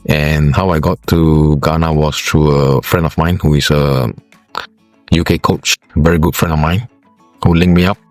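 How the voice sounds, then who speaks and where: A man from Singapore, a former footballer, giving interview answers in a halting way with many fillers and restarts. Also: extremely low pitch, fairly even intonation, dry recording, soft background music.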